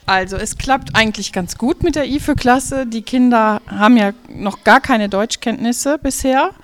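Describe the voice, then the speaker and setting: A woman's voice: fairly high pitch, moderate pace. A middle-aged woman teacher answering interview questions, explaining patiently.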